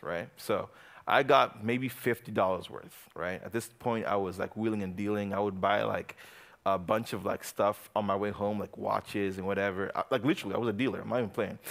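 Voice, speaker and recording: A young man from Uganda preaching to a youth group in a joking, animated way, swinging from loud bursts to quiet asides. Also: fairly low pitch, fairly quick, gravelly. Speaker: youth pastor.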